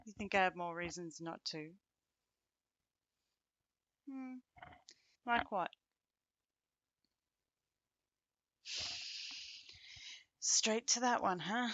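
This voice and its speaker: Casting: actress playing a fond, teasing woman companion for intimate ASMR roleplay; bright tone, slow conversational delivery with pauses. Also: medium pitch, heavily acted. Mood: tender, reflective. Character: affectionate, teasing woman, close companion of the listener.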